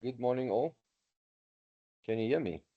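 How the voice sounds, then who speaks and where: A man's voice: mid-range voice, unhurried. A man asking an earnest, somewhat frustrated question in an online meeting. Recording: tinny phone-like line.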